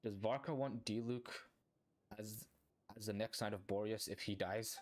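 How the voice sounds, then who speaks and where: A woman's voice: very deep voice, steady tone, a little slow, darkish tone. A woman streaming a game with casual live commentary, reacting in short interjections and echoing lines. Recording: game music behind the voice.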